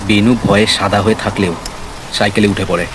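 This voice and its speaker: A man's voice: lowish voice, flat delivery, fairly quick. A man narrating a scripted horror story in a suspenseful, dramatic way. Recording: heavy rain and storm noise behind. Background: rain, storm effects.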